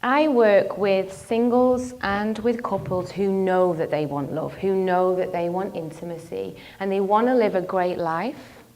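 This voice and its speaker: A woman's voice: mid-range voice, measured pace, few pauses, darkish tone, a little hiss or hum. Woman, relationship coach, motivational stage talk, storytelling, direct audience questions. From not from the local area; lives in London.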